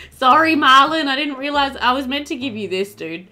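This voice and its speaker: A woman's voice: sits high, animated, normal speed, pushed and bright. A young woman giving chatty, informal, giggly commentary while playing a video game. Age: young adult. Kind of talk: casual let's play commentary.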